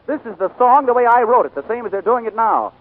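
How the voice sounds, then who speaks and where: A man's voice: very high voice, expressive, quick pace. An American man playing a cocky, combative, sarcastic young songwriter in 1940s radio drama style. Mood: challenging. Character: cocky, hot-headed young male songwriter. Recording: tinny, lo-fi 1940s radio broadcast.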